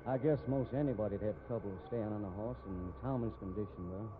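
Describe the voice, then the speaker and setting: An actor playing a shrewd, steady American western investigator in a 1950s radio drama, drawling in a dry, folksy, probing way. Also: male voice, lowish voice, fairly quick, close to an everyday voice. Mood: grim, dry. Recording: narrow-band old radio recording, background noise.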